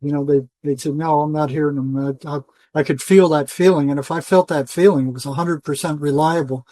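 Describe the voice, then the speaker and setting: A man's voice: a little high, steady tone, brisk, warm tone, no room sound. An older man talking as an interview guest in an insistent, emphatic way, repeating phrases for stress. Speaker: interview guest, retired psychotherapist. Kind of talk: conversational interview monologue.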